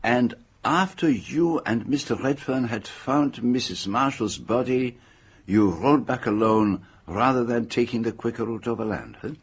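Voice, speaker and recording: A methodical police interrogator in a radio drama. He asks polite, probing questions in a husky, mid-pitched voice. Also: a little slow. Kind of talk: radio mystery drama acting.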